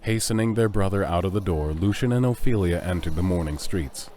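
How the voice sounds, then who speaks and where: A man's voice: sits low, brisk, mellow, slight background noise. A man giving scripted narration for an audio drama.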